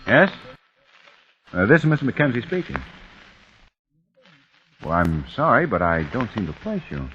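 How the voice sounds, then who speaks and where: A man's voice: mid-range voice, huge pitch swings, moderate pace. An actor playing a skeptical married man who talks in a dry, doubtful way, in 1940s radio drama style. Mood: polite. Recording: muffled old radio broadcast with hiss.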